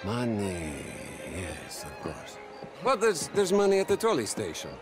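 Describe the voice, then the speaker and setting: An actor playing a sneering middle-aged Italian crime boss in a video game, with an Italian accent, chuckling through contemptuous remarks. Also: male voice, mid-range voice, huge pitch swings, unhurried, over music. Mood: sly.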